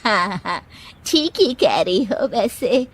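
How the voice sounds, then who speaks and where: A woman voicing a kindly older mother-in-law in a cartoon story, speaking slowly and gently. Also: fairly high pitch, expressive, husky, full character voice. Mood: gentle. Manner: slow, drawn-out, gently chiding.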